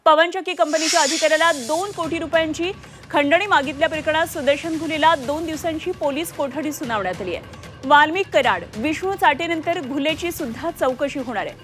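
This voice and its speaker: A woman's voice: sits high, a little fast, a bit bright. A woman anchors the news. Her delivery is scripted news reading, with light music underneath. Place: TV news studio. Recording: music bed behind voice.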